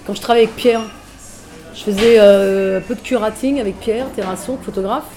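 A middle-aged woman musician chatting casually in an interview, relaxed and full of fillers. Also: medium pitch, brisk. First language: French. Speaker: musician guest.

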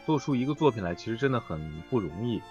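A man chatting as a voice actor guest in a live interview, phrasing things haltingly. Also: mid-range voice, big pitch swings, a little mellow, dull audio, soft background music. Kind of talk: casual live interview chat.

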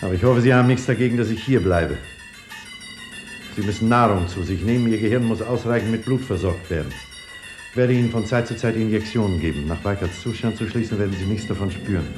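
An actor playing a sober male scientist and doctor who narrates his own story in a measured, grave, explanatory manner, 1950s radio drama style. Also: on the low side, a little mellow, faint music behind, natural voice.

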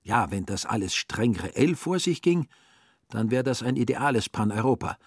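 Guy doing a cynical young swindler for a radio drama, husky, pitched up a bit, self-serving. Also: very animated, normal speed, dry recording, studio quality. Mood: indignant musing.

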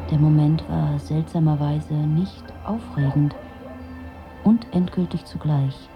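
A woman's voice: sits low, slow pace, lo-fi sound, music in the background. An actress playing a weary, detached, lonely woman who narrates her own story in a dry, introspective way for a radio drama. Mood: wistful, resigned. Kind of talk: literary first-person radio drama narration.

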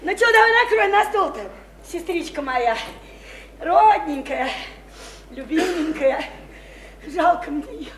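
Shrill brash boozy working woman. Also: animated, a little slow, pauses often, roomy sound, a little hiss or hum. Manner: flirty, teasing, folksy and slangy. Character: brash, boozy, chattering widowed house painter, a woman. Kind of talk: theatrical radio play acting.

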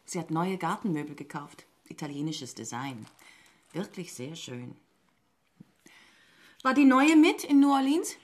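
Lowish-voiced, inquisitive wife. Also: female voice, very animated, unhurried, pauses often, slight background noise. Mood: casually probing. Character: married woman, chatty, gossipy and inquisitive about her family. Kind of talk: German radio drama dialogue.

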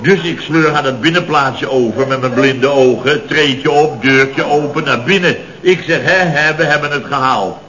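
An actor playing a blustering, middle-aged Dutch building boss in a radio comedy, indignantly spinning out long, exasperated stories. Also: male voice, medium pitch, normal speed, hardly any pauses, pushed and bright, close to an everyday voice. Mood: exasperated storytelling. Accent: Netherlands Dutch. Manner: indignant, long-winded storytelling with exasperated emphasis. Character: blustering, put-upon male building contractor boss recounting mishaps. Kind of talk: 1970s radio comedy acting. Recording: dull old radio recording.

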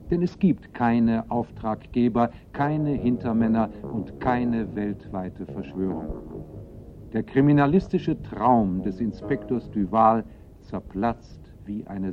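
A man's voice: mid-range voice, natural voice. A man narrating a criminal case in a radio play, in a sober, formal, factual manner. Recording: muffled, narrow-band old radio recording.

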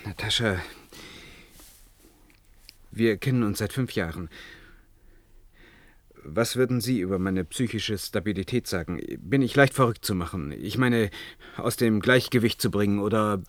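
An actor in a science-fiction radio play, playing an earnest, agitated amateur crystallographer on a submarine who explains things hesitantly yet insistently. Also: male voice, on the low side, measured pace, long pauses, no room sound, lightly acted. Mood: troubled, agitated.

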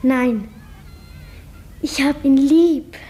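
A woman's voice: high-pitched, very slow, mellow. A female performer playing a shy, earnest little schoolgirl in a German radio drama, with hesitant, childlike answers. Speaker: actor in a radio play.

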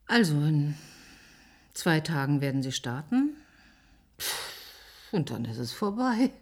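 A woman's voice: sits low, very animated, takes it slowly, a little mellow, slightly rough, dry recording, full character voice. An actress playing an earnest, impassioned woman doctor in a German radio play, with an urgent, insistent manner. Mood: resigned.

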